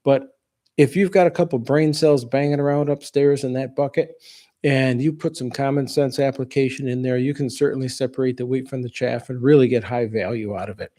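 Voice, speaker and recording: A man around sixty with a soft-edged, mellow voice talks casually as the host of a livestream. His delivery is relaxed and folksy, in plain words, and he drifts off into asides before returning to his point. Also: medium pitch, fairly quick.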